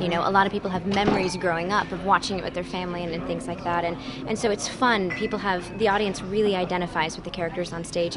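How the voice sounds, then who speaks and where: A woman's voice: fairly low pitch, heavy background noise. A young adult woman, a stage actress, chatting casually backstage in an interview with frequent fillers. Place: backstage in a theater.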